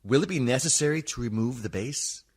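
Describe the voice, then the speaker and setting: An actor playing an insistent courtroom lawyer in a radio comedy, pressing and emphatic. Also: male voice, mid-range voice, fairly quick, slightly rough, close to an everyday voice.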